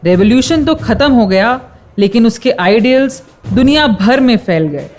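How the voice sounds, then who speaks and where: A woman's voice: a little high, lively intonation, normal speed, a little mellow, soft background music, natural voice. A woman performing as a dramatic, declamatory storyteller of the French Revolution in a rhyming radio play. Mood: explanatory.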